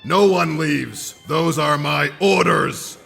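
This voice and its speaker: A small toy monster standing guard in an audio drama, dim, polite and stern by turns. The voice is heavily gravelly and forceful, drawn out very slowly in a long cavernous reverb. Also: male voice, on the high side, soft background music, exaggerated voice. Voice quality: gravelly, growling. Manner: slow, deliberate delivery.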